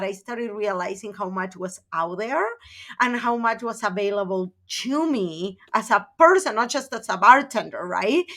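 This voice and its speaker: A middle-aged woman from Argentina, a podcast guest telling her career story in a chatty, animated way full of fillers. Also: on the high side. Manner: animated, chatty, uses many fillers like "like" and "right?". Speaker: podcast guest, personal branding coach. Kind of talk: conversational interview storytelling.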